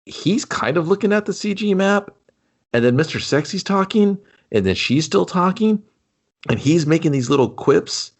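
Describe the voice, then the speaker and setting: A man's voice: high-pitched, lively intonation, moderate pace. A man co-hosting a movie review podcast, ranting in casual, profane banter. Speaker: podcast co-host.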